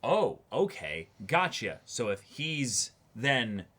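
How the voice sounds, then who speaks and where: Bright-voiced man in casual discussion. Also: medium pitch. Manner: animated, repeats phrases for emphasis, halting as he thinks aloud. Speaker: co-host of an anime reaction show. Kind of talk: casual discussion of an anime episode.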